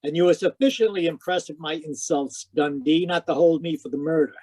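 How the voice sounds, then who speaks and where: A man's voice: on the high side, fairly quick, mellow, no room sound, natural voice, poor audio. A man acting a wry, persistent hard-boiled private detective in an old-time radio mystery, telling it matter-of-factly. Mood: wry narrating. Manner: wry, matter-of-fact storytelling. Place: close dry sound, remote live performance.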